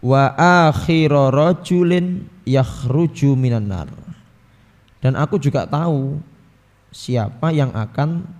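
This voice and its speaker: A man's voice: medium pitch, takes it slowly, pauses often, mellow. Javanese-accented man teaching in a reverberant hall. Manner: mixes Arabic recitation with casual explanation, addresses audience as Bapak Ibu; frequent throat clearing. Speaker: ustadz teaching a hadith book. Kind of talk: religious study lecture.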